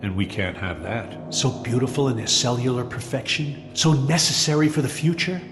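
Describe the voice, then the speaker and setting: An actor playing a calm, smug rogue scientist in an audio drama, speaking with measured, mocking politeness. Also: male voice, medium pitch, lightly acted. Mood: coldly menacing.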